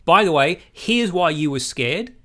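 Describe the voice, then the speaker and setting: A man co-hosting a podcast in casual movie chat, telling things in an animated, sweary way and checking in with his listener. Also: fairly high pitch, measured pace. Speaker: podcast co-host.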